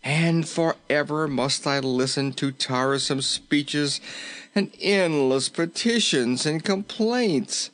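A man's voice: high-pitched, animated, takes it slowly, pitched above the performer's own voice, exaggerated voice. A man voicing a comical, cowardly, self-pitying but tender-hearted king in a storybook, moaning melodramatically. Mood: pleading, dismayed. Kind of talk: storybook character voice.

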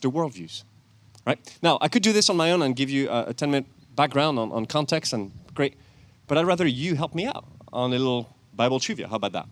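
A man's voice: a little high, dry recording. A man with a French accent gives a chatty sermon outdoors, quizzing the congregation and laughing often. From France. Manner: animated, chatty, laughs often, quick with self-corrections. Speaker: guest preacher, church elder and chemist. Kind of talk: lively outdoor sermon with audience questions. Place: outdoor church service with planes overhead.

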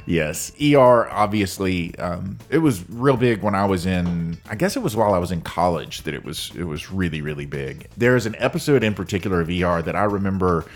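A man's voice: low voice, animated, brisk, hardly any pauses, faint music behind. A middle-aged man, co-hosting a casual podcast and telling stories with frequent laughs. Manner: chatty, laughs often, tells anecdotes. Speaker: podcast co-host.

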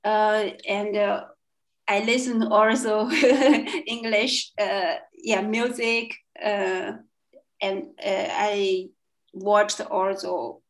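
A young woman from China with a Chinese accent, a guest in a casual interview, speaking haltingly with many fillers and restarts. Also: mid-range voice, fairly even intonation. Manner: hesitant, many fillers and restarts, laughs often. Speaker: guest, language student.

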